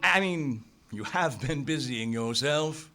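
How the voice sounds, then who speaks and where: Actor as an earnest, animated young adventurer hero. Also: male voice, mid-range voice, slow pace. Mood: excited. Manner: earnest, dramatic, literary phrasing. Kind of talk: live old-time radio play acting and narration.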